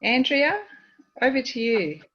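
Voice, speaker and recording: A woman's voice: high-pitched, very animated, unhurried, fairly bright tone. A woman moderating an online panel, passing the floor with brief, upbeat handovers. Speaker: host. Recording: dull, distant video call.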